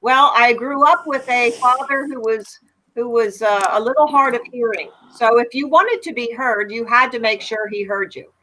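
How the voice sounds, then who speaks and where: An American woman with a loud voice that carries, chatting casually and telling anecdotes with emphasis on an online panel. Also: a little high, normal speed, poor audio. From United States. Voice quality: loud, projecting voice. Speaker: panel guest.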